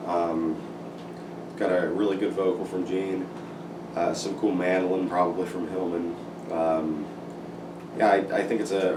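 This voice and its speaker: Casual male reviewer, very low pitch, halting delivery, voice still worn; roomy, distant, noisy recording. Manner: rambling, hesitant, many ums and likes. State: recovering from losing his voice. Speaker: music reviewer. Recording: echoey room, distant mic, noisy.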